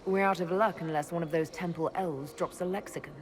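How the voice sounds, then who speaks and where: A woman voicing a practical female leader who speaks directly and briskly. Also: on the low side, steady tone, background noise, natural voice. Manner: direct, brisk plain speech. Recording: game cutscene with clear score.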